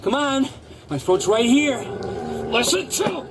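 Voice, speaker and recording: An actor playing a sly gardener turned dog thief in a radio drama, coaxing and theatrical, repeating himself. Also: male voice, very high-pitched, big pitch swings, slow pace, heavy background noise, full character voice. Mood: taunting, domineering.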